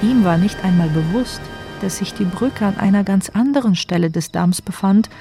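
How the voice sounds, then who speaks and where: A woman's voice: medium pitch, normal speed, dark tone, soft background music. A woman narrating a story in a dramatic, scripted radio play style.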